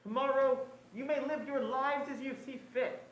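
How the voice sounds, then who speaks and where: Guy acting a solemn rich old father, high voice, very formal stilted speech, echoey stage. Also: steady tone, moderate pace. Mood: solemn, resigned. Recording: echoey stage, faint noise.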